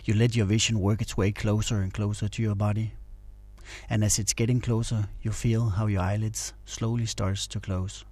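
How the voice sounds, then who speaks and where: Male meditation narrator: low, even-toned, calm and instructional delivery. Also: normal speed, dry recording. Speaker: meditation guide.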